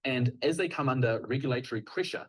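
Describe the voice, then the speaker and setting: A man with a New Zealand accent presenting his team's project, speaking slowly and earnestly. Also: medium pitch, slightly rough. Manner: deliberately slow, earnest. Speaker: team presenter.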